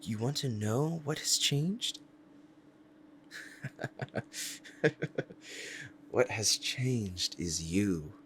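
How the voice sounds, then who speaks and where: Proud demon lover, played by a man, deep-voiced, intense and deliberate, ASMR roleplay, faint background noise. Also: sing-song, full character voice. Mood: insistent, possessive. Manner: intense, deliberate, dramatic pauses. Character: powerful possessive demon bound to a sorcerer, devoted, proud. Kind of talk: ASMR roleplay acting, dramatic monologue.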